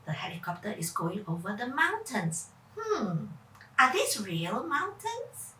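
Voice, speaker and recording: High, very animated woman storyteller in echoey room. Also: measured pace, slight background noise. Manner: theatrical, puts questions to child listeners, vocal sound effects. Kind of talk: dramatic children's story reading. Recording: echoey room, far from mic.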